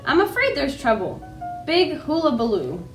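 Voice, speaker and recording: A woman voicing a brisk, military male sheepdog colonel in a children's storybook. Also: high-pitched, sing-song, roomy sound, faint music behind. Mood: concerned. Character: gruff-mannered old-fashioned male English sheepdog colonel.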